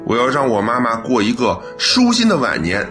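An upright young policeman in a radio drama. He is sincere and earnest, with a mid-pitched, lively swinging intonation over faint music. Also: normal speed.

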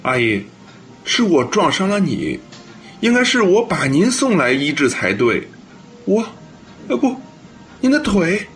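Guy acting a flustered apologetic college boy in a radio play, highish, pleading, swoops around a lot. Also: very animated, unhurried, fairly bright tone, soft background music. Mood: worried, guilty. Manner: stammering, pleading. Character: flustered, earnest, apologetic male university student. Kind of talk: audio drama acting.

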